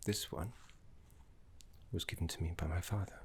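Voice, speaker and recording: A man voicing a gentle, fussy, scholarly older necromancy teacher in a soft ASMR roleplay, breathy and half-whispered, with polite, hesitant phrasing. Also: sits low, steady tone, slight background noise, lightly acted. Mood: softly confiding. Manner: polite, hesitant, slow and deliberate.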